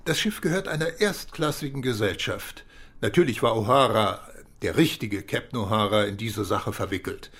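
An actor playing a measured, courteous British police chief in a radio drama, speaking formally and deliberately. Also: male voice, lowish voice, a bit bright, breathy edge. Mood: businesslike.

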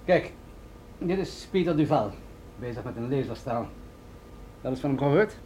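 An actor playing an authoritative, insistent military general in a radio drama, with a measured, persuasive delivery. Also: male voice, medium pitch, lively intonation, a little mellow, slight background noise, lightly acted, poor audio. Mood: businesslike. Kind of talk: Dutch radio drama acting.

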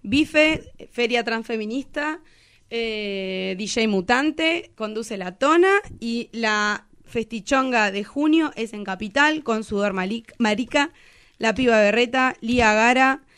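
A woman with an Argentine Rioplatense accent and a fairly high voice. She talks casually as an interview guest, candid and animated, like someone sharing an anecdote. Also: takes it slowly, clear, resonant tone.

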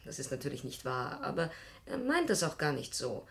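A woman's voice: very deep voice, very animated, moderate pace, full character voice. A woman playing a strict middle-aged mother in a radio play, insistent and exasperated as she explains herself. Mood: confiding, insistent. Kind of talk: German radio play acting.

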